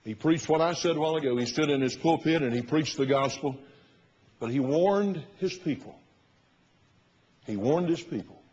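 An older man in his sixties with a husky voice, preaching an emphatic, oratorical sermon as a pastor. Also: moderate pace, long pauses. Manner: emphatic, oratorical, rising to loud bursts and dropping low. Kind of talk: fiery sermon. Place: large church sanctuary, at the pulpit platform. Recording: muffled recording in a reverberant church hall.

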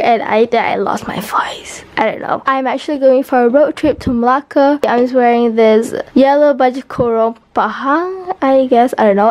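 A woman's voice: on the high side, dry recording. A teenage girl with a Malaysian accent, chatting casually to a handheld camera as a vlogger, giggly and mixing in Malay slang. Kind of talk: casual vlog chat. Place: car and bedroom. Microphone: camera held at arm's length. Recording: loud background music over voice.

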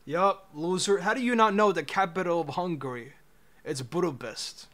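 A man's voice: sits high, moderate pace, fairly bright tone. A young man in his twenties, casually chatting with his chat on a livestream through a headset, laid-back and joking. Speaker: streamer.

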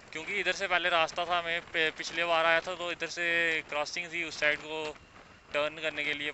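A young man in his twenties chatting casually and excitedly while riding a scooter, recorded outdoors on a clip-on mic. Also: on the high side. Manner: chatty, excited, repeats "bhai" and "yaar". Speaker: motovlogger. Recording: wind and traffic noise, dull lo-fi sound.

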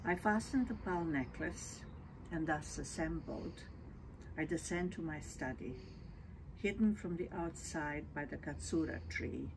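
Older woman, low voice. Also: a little slow. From Belgrade, Serbia. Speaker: poet. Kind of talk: poetry reading. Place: outdoors in a leafy garden. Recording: noisy outdoor ambience.

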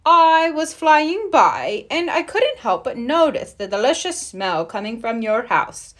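A woman's voice: high voice, sing-song, unhurried, pitched above the performer's own voice, exaggerated voice. Woman putting on a lilting, courteous storybook owl. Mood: coaxing. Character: courteous, hopeful male owl angling for treats. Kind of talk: children's storybook read-aloud.

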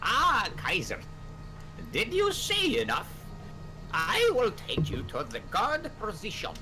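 A woman's voice: a little high, wildly swooping pitch, slow pace, sharp tone, faint music behind, exaggerated voice. A woman voicing a courteous castle officer briefing guards in an amateur fantasy game dub. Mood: courteous, businesslike.

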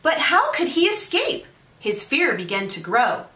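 Expressive woman reading a storybook aloud, echoey. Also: sits high, unhurried, slight background noise. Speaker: fifth grade teacher reading aloud. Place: small indoor room with brick wall. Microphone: camera a few feet away. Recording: echoey room, mic at a distance.

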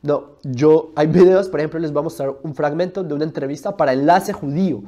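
A man's voice: fairly high pitch, a little fast, mellow. A man, arguing his point in a video monologue, emphatic and full of rhetorical questions, recorded on a lapel mic in a studio. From Colombia. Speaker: religion video host. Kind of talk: argumentative explainer monologue.

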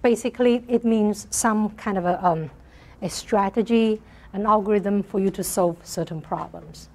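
A soft-spoken middle-aged woman lecturing on math in a large hall, often checking in with her class. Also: medium pitch, normal speed. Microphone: lapel mic.

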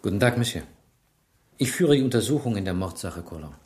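Male actor as police inspector, radio play, fairly deep, polite, calm, probing. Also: lightly acted. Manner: polite, measured, inquisitive. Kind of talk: German radio play acting.

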